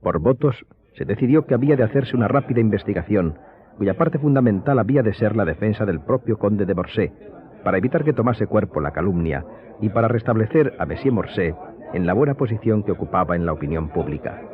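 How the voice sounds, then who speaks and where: A man's voice: lowish voice, lively intonation, a little fast. A man giving scripted dramatic narration as the narrator of a radio drama. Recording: thin phone-like sound, music behind.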